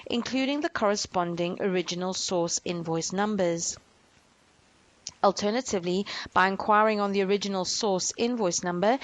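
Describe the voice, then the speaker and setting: A woman narrates a software demonstration from a script. Her delivery is measured and instructional, at a middling pitch, walking the listener through each step.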